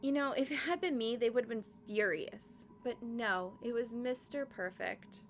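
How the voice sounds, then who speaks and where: Female actor as snide fortyish reaper: creaky, slightly high voice, needling, audio drama with noisy ambience. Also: moderate pace, natural voice. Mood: snide, resentful. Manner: needling, sarcastic. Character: catty, thin female reaper in her forties. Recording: clear background noise, ambience.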